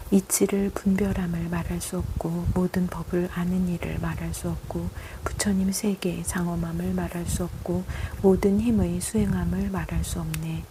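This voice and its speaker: A woman reciting Buddhist scripture solemnly, in a measured, repetitive cadence. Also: lowish voice, mellow, a little hiss or hum, rough recording.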